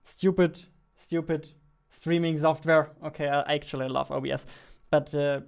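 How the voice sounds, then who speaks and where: A young man talks casually through his work at a computer, thinking aloud and joking at his own expense. His voice sits fairly high for a man, is very clear, and stays in a narrow range of pitch. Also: steady tone, moderate pace. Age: young adult. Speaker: indie game developer vlogging. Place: indoors at a computer desk.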